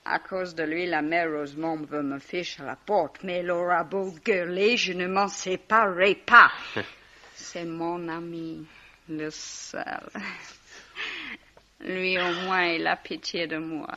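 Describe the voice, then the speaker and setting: A woman's voice: fairly low pitch, fairly even intonation, slow pace, sharp tone. Wrecked, volatile young drunk woman for radio mystery; slurred, piercing voice, dull old recording. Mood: maudlin, bitter. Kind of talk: 1950s French radio mystery acting.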